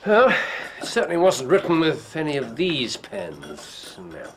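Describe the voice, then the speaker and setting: An actor playing a brisk master detective in a radio drama, precise, dry and faintly superior. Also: male voice, on the high side, wildly swooping pitch, a little hiss or hum.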